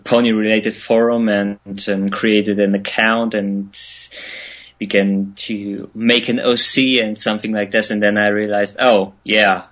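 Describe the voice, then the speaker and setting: Slow-talking young German man, hesitant. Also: on the low side, flat delivery, fairly bright tone. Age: 20s. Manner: hesitant, many ums and uhs, laughs often. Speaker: interview guest, musician. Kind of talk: casual interview answers. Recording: lo-fi online radio call.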